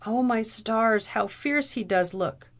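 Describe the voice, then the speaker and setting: A woman reader acts a timid young gentleman in a storybook, scared out of his wits. He whimpers and implores in a raised, anxious, breath-catching way. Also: sits high, slow pace, pitched above the performer's own voice, heavily acted. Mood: terrified pleading. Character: cowardly, terrified young gentleman, whimpering and pleading.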